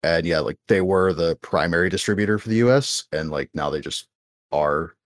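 Deep-voiced American man, casual ramble. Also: moderate pace, no echo, studio quality. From United States. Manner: rambling, many fillers and restarts. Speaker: podcast co-host. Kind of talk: casual chat.

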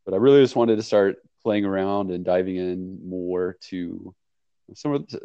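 A man's voice: low-pitched, normal speed, dark tone, clean tone, no echo, muffled recording. A man hosting a podcast in a casual, meandering way, laughing often as he talks. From not Portugal, lives in Portugal. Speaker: podcast host. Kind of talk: casual conversational interview.

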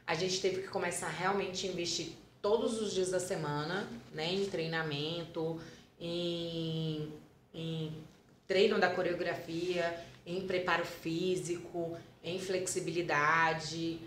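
A woman's voice: on the low side, fairly even intonation, slow, reverberant, a little hiss or hum. A woman with a Brazilian Portuguese accent, a dance school founder and teacher, telling stories in a casual podcast interview and repeating words for emphasis.

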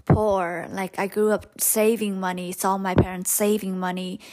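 A young woman who grew up speaking Hmong talks through her life story, loose and unscripted. Her voice sits at an ordinary middle pitch, and she keeps circling back with "um" and "like". It comes across as a personal podcast monologue. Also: level tone, a little slow, a little mellow. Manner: candid and rambling, many fillers like "um" and "like". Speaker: podcast host.

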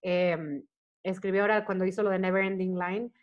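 A woman's voice: mid-range voice, brisk, dull audio. Mexican woman chatting casually, telling stories. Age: 40s. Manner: animated storytelling, often acting out quoted dialogue. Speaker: guest performer, singer and actress. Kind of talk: casual interview chat.

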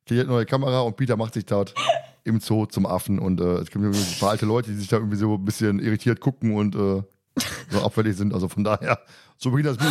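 A man's voice: on the low side, darkish tone. A man hosting a casual podcast discussion, recapping and commenting in a colloquial, teasing, joking way. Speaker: podcast host.

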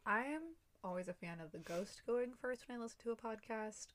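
A woman's voice: a little high, a little fast, a bit bright, a little hiss or hum. A young adult woman co-hosting a casual podcast, recounting history from her notes with small restarts and asides. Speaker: podcast co-host. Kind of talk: casual chat, then telling a researched history.

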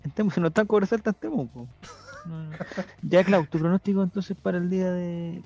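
Chilean man with a gravelly voice. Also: high voice, fast, a little mellow, dull audio, faint music behind. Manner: joking, slangy, leads the questions. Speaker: host. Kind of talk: casual football podcast chat.

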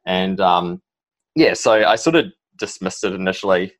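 A young man from New Zealand with a strong New Zealand accent, a podcast guest telling his story in a halting, rambling way full of ums. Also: deep voice, lively intonation. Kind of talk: casual interview talk.

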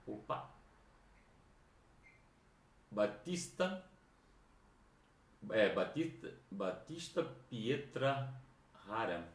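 Echoey, informal southern Brazilian man. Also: medium pitch. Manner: informal, rambling, repeats phrases. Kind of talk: casual livestream chat, greeting viewers. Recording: echoey room, distant microphone.

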